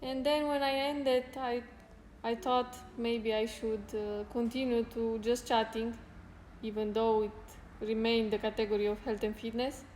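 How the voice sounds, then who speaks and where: Slow, soft-spoken woman in an echoey room. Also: fairly high pitch, level tone, slight background noise. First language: Spanish. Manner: unhurried, pauses to search for words, light laughs. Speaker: fitness streamer. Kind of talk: casual live-stream chat with viewers. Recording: roomy echo with faint music.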